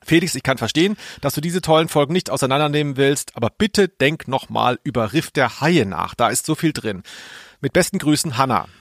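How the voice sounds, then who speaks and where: A man co-hosts a podcast. He chats casually and reads out listener mail in a mid-pitched voice. The sound is dry, with very little room. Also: animated, brisk, no room sound. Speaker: podcast co-host.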